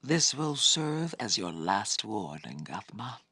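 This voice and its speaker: Husky, imperious half-dragon commander. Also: female voice, very deep voice, very animated, slow, sharp tone, no room sound, clean studio sound, heavily acted. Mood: cold fury. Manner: imperious, scornful, formal. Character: proud, menacing half-dragon female army commander. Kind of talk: scripted fantasy video game acting.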